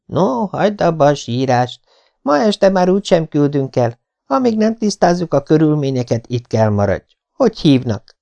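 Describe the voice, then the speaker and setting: A man reading an audiobook voices a stern, brisk middle-aged spinster with a sharp, scolding manner. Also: a little high, very animated, gravelly.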